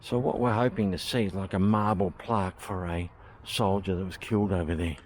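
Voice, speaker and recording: Deep-voiced Australian man touring. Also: fairly even intonation, brisk, darkish tone, slight background noise. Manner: chatty, thinking aloud with fillers. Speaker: travel vlogger. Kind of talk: casual walking tour commentary. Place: outdoors at a historic village.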